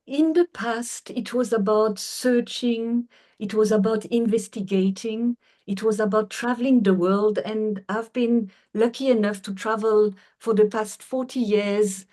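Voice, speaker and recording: A woman's voice: mid-range voice, warm tone. Middle-aged French-accented woman, earnest. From France. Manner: earnest, unhurried, with frequent fillers and restarts. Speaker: guest, healer. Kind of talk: conversational interview.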